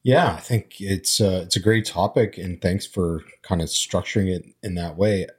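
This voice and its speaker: Middle-aged man whose first languages are Armenian and Arabic, deep husky voice, relaxed reflective talk. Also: moderate pace. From immigrant, grew up speaking Armenian and Arabic. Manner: reflective, storytelling, frequent fillers. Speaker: panel guest. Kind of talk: casual conversation.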